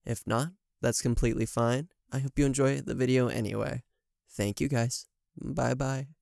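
A man speaks as a channel host in a casual, appreciative address to his viewers. The recording is very dry, and his pitch sits in the middle range. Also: fairly even intonation, normal speed, creaky, darkish tone, no echo. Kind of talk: casual outro-style thanks to patrons.

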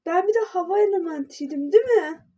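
Young female gamer, high voice, chatty running commentary with excitable spikes. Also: a little fast, dull audio, noisy background. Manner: chatty, narrates each step aloud, excitable bursts. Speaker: player narrating her game. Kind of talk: casual gameplay roleplay narration.

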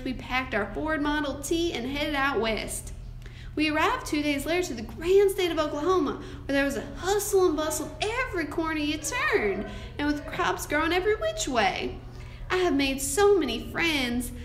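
A young woman playing an earnest, hopeful thirteen-year-old frontier girl in a recited storytelling monologue. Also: high voice. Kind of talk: scripted first-person monologue. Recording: roomy, echoing amateur recording.

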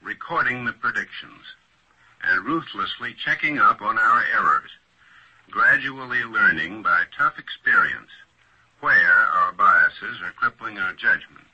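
An American man speaking as an academic panelist in a 1940s radio discussion, measured, formal and articulate. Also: fairly low pitch, dull audio. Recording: noisy old radio recording.

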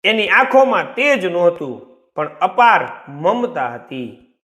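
A man narrating a story in a theatrical, deliberate, scripted style. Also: sits high, very animated, a bit bright.

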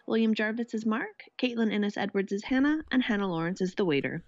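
A woman's voice: medium pitch, animated, fast talker, darkish tone, no echo, lo-fi sound. A woman hosting a podcast, presenting informally. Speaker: podcast host.

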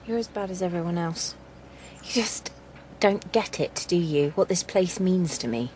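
An actress plays an earnest English woman in a naturalistic radio drama, stubborn and easily hurt. Her voice sits slightly low, over faint background ambience. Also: lively intonation, normal speed, a little mellow, a little hiss or hum, natural voice. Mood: hurt, defensive. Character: earnest, wounded, stubborn spiritual woman fighting for her land.

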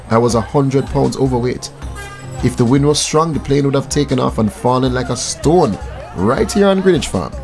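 Affable Jamaican pilot. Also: male voice, mid-range voice, expressive, normal speed, creaky, a little mellow, soft background music, a faint crowd. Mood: earnest, reflective. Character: affable young male airline pilot, humble and earnest. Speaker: actor in a radio drama. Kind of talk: scripted radio drama.

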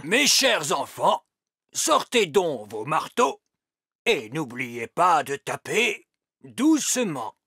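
Bright-voiced, slow, pompous old elf. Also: male voice, a little high, very animated, gravelly, breathy edge, full character voice. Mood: instructive. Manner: formal, pompous, deliberate. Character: stern, pompous wise old elf schoolteacher. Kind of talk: dubbed children's cartoon acting.

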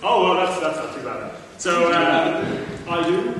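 A man's voice: fairly high pitch, very animated, measured pace. A young Irish man hosting a convention panel in a casual, joking way. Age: young adult. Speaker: panel host. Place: large hall at a panel table, far camera. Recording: very echoey noisy hall, far off.

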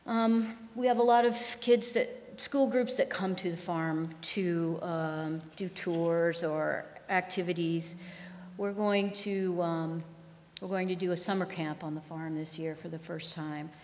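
Low-voiced woman speaking in a hall. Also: fairly even intonation, unhurried, darkish tone. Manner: conversational, frequent ums, restarts. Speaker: farm manager giving keynote. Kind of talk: conference keynote talk. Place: large lecture hall. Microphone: podium microphone. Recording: long hall reverb.

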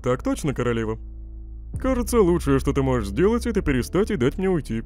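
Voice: medium pitch, very animated, fast talker, natural voice. An actor playing a laconic, sardonic male skeleton trickster with a dry, sarcastic manner in a comic dub. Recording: loud music under the voice.

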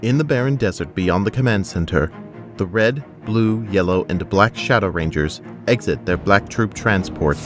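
A man narrating an audio drama, reading scripted scene descriptions in a measured way. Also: on the low side, over music, lightly acted.

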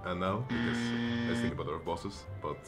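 A man's voice: mid-range voice, fast. A young man bantering casually as a contestant in a gaming quiz. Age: young adult. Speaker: contestant in a video game quiz. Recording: music behind the voice.

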